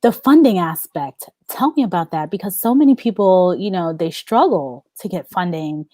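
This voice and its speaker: Low, mellow-voiced woman host. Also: expressive, moderate pace, no room sound. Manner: enthusiastic, repeats and restarts phrases. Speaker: podcast host. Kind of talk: podcast interview questions.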